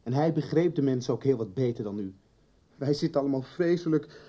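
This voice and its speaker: An actor playing an earnest, sensitive teenage schoolboy in a radio soap, blunt and emotional in manner. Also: male voice, medium pitch, expressive, fairly quick, mellow, muffled recording, natural voice. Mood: defiant, accusing. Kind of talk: naturalistic 1990s Dutch radio soap acting.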